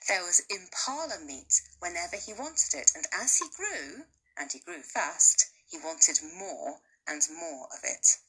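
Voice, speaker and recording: A woman teacher reads a children's novel aloud with expressive storytelling. Her pitch rises and falls a lot, and she reads slowly. Her tone is bright with a slightly husky edge. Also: rough recording.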